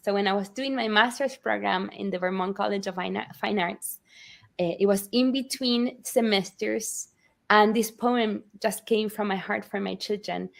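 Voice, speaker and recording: A middle-aged woman author speaks at an average pitch. She tells personal stories conversationally, as a guest being interviewed. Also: normal speed, creaky. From Argentina. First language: Spanish. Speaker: picture book author, guest. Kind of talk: warm personal storytelling in interview.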